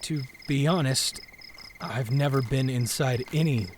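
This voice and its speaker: A man playing an earnest, modest young country hero in a fantasy audio drama, hesitant and soft-spoken. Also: medium pitch, measured pace, background noise, natural voice.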